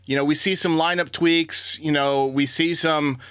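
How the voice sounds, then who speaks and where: A man's voice: a little high, steady tone, normal speed, fairly bright tone, smooth, dry recording. A man hosting a sports podcast, giving blunt, opinionated baseball commentary and answering listener voicemails.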